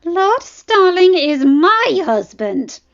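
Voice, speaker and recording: A woman's voice: very high voice, big pitch swings, slow pace, highs cut off, pitched above the performer's own voice, heavily acted. A woman voicing an indignant, jealous young noble lady in audiobook dialogue, pointed and hot-tempered. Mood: furious.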